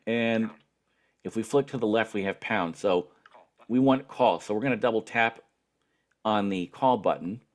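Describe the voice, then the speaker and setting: A man giving a spoken tutorial as an instructor, explaining each step in a deliberate, patient way. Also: lowish voice.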